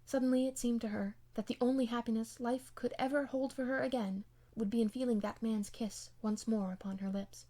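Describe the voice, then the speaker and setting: High-voiced woman narrating a novel. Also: fairly even intonation, brisk, hardly any pauses, mellow. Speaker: amateur audiobook narrator. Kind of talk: scripted literary narration.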